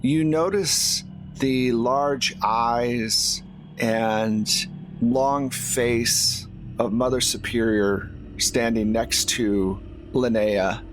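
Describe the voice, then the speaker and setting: A man's voice: mid-range voice, fairly even intonation, drawn-out words, poor audio. Man game master narrating over faint noise. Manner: conversational, descriptive storytelling. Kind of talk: narrating a horror tabletop roleplaying game. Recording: faint background ambient noise.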